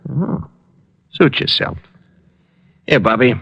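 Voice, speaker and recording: An actor playing a sardonic, suspicious escaped convict boss in an old radio crime drama, with tough, slangy, sneering talk. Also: male voice, mid-range voice, slow, gravelly, fairly bright tone, breathy edge, muffled recording, full character voice. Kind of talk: 1940s-50s radio crime drama acting.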